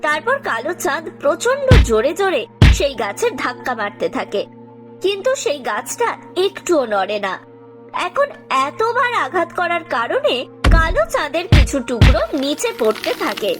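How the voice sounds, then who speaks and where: A woman's voice: high voice, big pitch swings, moderate pace. A woman reading scripted narration for a cartoon story. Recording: music under the voice.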